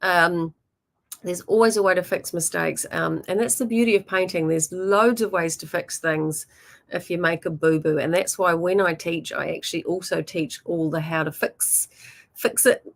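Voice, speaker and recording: A woman's voice: lowish voice, steady tone, moderate pace, gravelly. A woman with a New Zealand accent, a painting teacher talking casually and encouragingly to her viewers, with frequent ums and you-knows. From born in Germany, raised in New Zealand.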